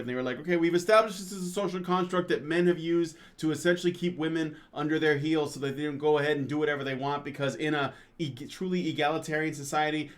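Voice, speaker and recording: Higher-voiced man in rambling chat. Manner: rambling, halting restarts. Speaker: co-host. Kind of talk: casual podcast chat.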